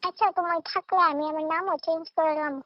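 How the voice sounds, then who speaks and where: A woman's voice: very high-pitched, fairly even intonation, fast talker, no echo. A woman, calling on a muffled phone line and chatting in a pleading, insistent way. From Tripura, India. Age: 20s. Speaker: caller. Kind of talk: casual phone chat.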